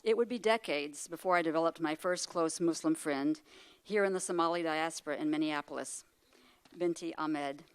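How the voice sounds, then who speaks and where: An older woman, a retired professor, reads a prepared speech at a luncheon. Her voice sits a little low, and she tells her life story thoughtfully. She adds wry asides and rhetorical questions along the way. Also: moderate pace, clean tone. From United States. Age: 60s. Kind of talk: prepared speech read to an audience.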